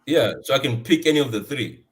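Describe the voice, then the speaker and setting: A man born in Zambia and raised in South Africa, chatting casually about football in a relaxed, easily amused way. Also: mid-range voice, a little fast. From born in Zambia, grew up in South Africa.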